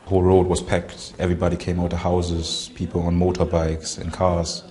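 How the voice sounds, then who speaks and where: Low, flat-voiced young man. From Germany. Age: 20s. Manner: halting, searching for words. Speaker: interviewee, former militant. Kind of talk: recounting in an interview. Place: indoor room with barred windows.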